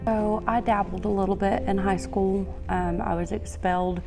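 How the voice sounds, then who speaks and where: A woman's voice: mid-range voice, little pitch movement, moderate pace, vocal fry. A woman from Georgia, talking about her upbringing casually and plainly. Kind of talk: personal recovery testimonial. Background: soft music.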